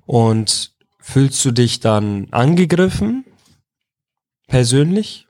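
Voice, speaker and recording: A man's voice: medium pitch, sing-song, takes it slowly, a little mellow, dry recording. Dry-sounding male interviewer from Iraq. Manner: informal, rephrases his questions several ways. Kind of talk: casual youth interview.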